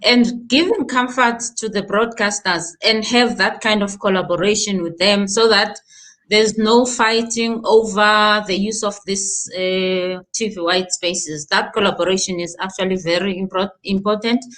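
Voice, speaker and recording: A woman from Africa, a regulator answering questions on a panel. She talks in a measured, hesitant way with many pauses and fillers. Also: medium pitch, fairly even intonation, a bit bright. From South Africa.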